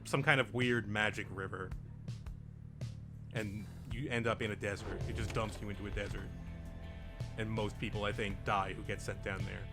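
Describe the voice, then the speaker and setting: A man acting as game master, talking casually and haltingly as he hosts and narrates a tabletop game session. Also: fairly low pitch, steady tone. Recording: music playing behind the voice. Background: music.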